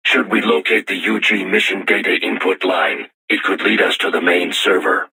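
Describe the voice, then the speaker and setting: A man voicing a solemn self-aware robot war machine in a video game, with formal, measured, stilted diction and robotic processing on the voice. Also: low voice, sharp tone, slightly rough, full character voice. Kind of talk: scripted video game voice acting.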